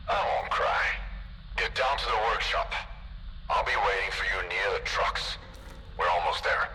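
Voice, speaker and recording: An actor playing a gruff, seasoned guerrilla fighter in a video game, speaking in terse, clipped phrases. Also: male voice, sits low, sharp tone, slight background noise, exaggerated voice. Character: gruff, seasoned male stalker guerrilla ally. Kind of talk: video game cutscene dialogue.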